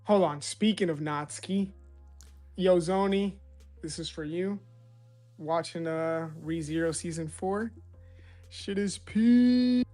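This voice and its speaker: A playful man co-hosts a casual live stream. He banters and teases his partner in a fairly high voice, and he laughs easily. Faint music plays in the background. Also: slow pace, long pauses, mellow. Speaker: stream co-host.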